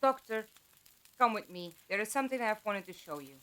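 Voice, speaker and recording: A woman's voice: medium pitch, animated, a little fast, a bit bright, natural voice, poor audio. A woman acting a sensible, dry-witted, loyal character in an audio drama. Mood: firm.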